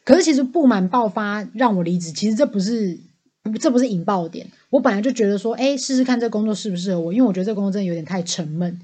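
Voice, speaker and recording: A young woman with a Taiwanese Mandarin accent co-hosting a podcast in casual banter, animated and blunt, swearing freely and laughing often. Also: medium pitch, fast. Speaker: podcast co-host.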